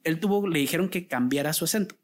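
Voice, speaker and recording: Guatemalan man, fairly high voice, interviewing. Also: fast. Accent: Guatemalan Spanish. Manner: long, rambling questions, with more emphasis when making a point. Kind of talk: casual interview conversation.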